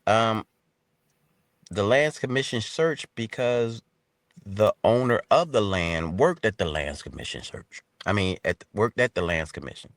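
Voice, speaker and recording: American male, fifties, low voice, informal interview guest, dry sound. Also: lively intonation, a little slow, dry recording. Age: 50s. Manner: informal, colloquial phrasing. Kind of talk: casual conversational storytelling.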